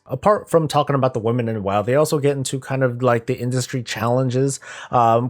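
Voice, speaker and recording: A man hosting casual gaming news commentary, talking conversationally and thinking out loud as he goes. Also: medium pitch. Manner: conversational, rambling, thinking aloud. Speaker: gaming news YouTube host.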